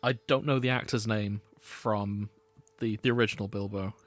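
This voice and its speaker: A man's voice: fairly low pitch, steady tone, normal speed, no room sound. A man hosting a comedy podcast, chatting in a jokey, sweary way. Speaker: podcast host. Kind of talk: bantering comedy podcast chat.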